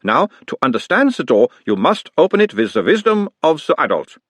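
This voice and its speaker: A calm analyst with a mild Uberwaldian accent coaxes answers in a raised, lilting voice, as a man puts him on. Each question is gentle and probing. The recording is dry and close. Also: a little high, a little fast, dry recording, pitched above the performer's own voice. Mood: coaxing. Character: orc playing his own analyst, calm, probing.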